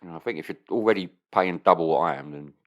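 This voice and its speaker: A man's voice: sits low, fast talker. A man, co-hosting a podcast in casual, rambling banter full of swearing and rhetorical questions. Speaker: podcast co-host.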